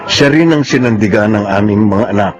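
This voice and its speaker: A man's voice: on the low side, a little fast, dull audio, music in the background, natural voice. A man playing a hard-drinking, boastful family man with a Filipino accent in a radio drama. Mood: regretful narration.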